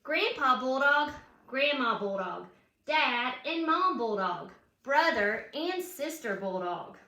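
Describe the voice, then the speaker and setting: A woman's voice: high voice, bright, forceful tone. A woman reads a children's picture book aloud with chatty asides, putting questions and emphatic cheers to young listeners. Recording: roomy, echoing room sound.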